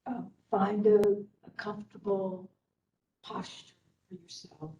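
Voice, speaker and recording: A woman instructor leading a guided meditation in a soothing way, with long pauses between short phrases. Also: medium pitch, little pitch movement, mellow, highs cut off, slight background noise. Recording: roomy echo, distant from the microphone.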